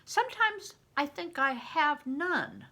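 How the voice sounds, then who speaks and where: A woman's voice: sits high, sing-song, takes it slowly, sharp tone, voice pushed up, full character voice. An older woman voicing an anxious, self-doubting peasant boy who speaks in a worried, hesitant, protesting way in a storybook reading.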